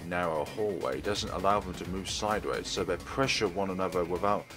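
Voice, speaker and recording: A man's voice: low-pitched, fairly quick, over music. A man narrating a visual novel aloud as a let's play host. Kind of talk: reading visual novel aloud, narrating and doing character voices.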